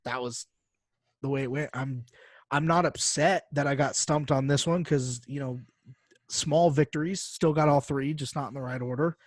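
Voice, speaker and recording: A man's voice: medium pitch, fairly even intonation, normal speed, dry recording, clean studio sound. A man co-hosting a podcast in casual, slangy chat, with frequent fillers as he talks through lists and figures. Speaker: podcast co-host.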